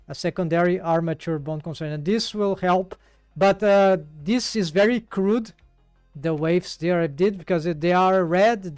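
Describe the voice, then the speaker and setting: A man's voice: high-pitched, darkish tone, clean tone, no room sound, clean studio sound. A man with a Brazilian accent, working through a 3D rigging task live and thinking aloud with many fillers and restarts. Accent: Brazilian Portuguese. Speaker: 3D rigging streamer. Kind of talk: live tutorial while working.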